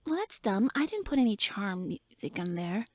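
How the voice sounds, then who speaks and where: A woman's voice: medium pitch, sing-song, moderate pace, dry recording. A woman voicing a tiny, pouty tsundere elf with little wings, flustered, huffy and indignant, speaking to the listener in a roleplay audio drama.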